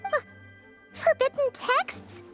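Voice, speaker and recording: A woman voicing a tiny, chirpy, childlike fairy companion in a video game, blunt and squeaky. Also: takes it slowly, a bit bright. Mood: startled. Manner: refers to herself in third person, exclamatory. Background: background music.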